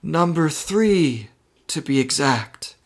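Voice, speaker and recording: A man voicing a mysterious, ancient telepathic alien in an old person's voice, speaking formally and in measured phrases. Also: on the high side, animated, pitched above the performer's own voice. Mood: solemn, confiding. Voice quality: stereotypical wrinkly old-person voice.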